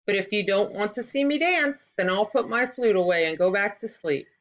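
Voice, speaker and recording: A woman's voice: medium pitch, lively intonation, moderate pace, dull audio, close to an everyday voice. Clever female turtle acted by a woman, storybook character, coaxing, innocent-sounding, dulled recording.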